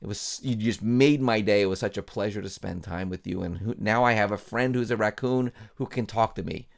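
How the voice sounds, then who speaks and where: A man voicing a friendly, reassuring man of the woods in a bedtime story, gently repeating his words. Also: on the low side, fairly quick, gravelly. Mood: warm, delighted.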